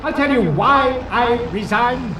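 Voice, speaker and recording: An actor playing a defiant male prisoner who refuses to leave, speaking in a slow, brooding, unhinged way. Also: very high voice, lively intonation, noisy background, heavily acted. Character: defiant male prisoner clinging to his village. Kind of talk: fan audio drama acting. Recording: echoey with music behind.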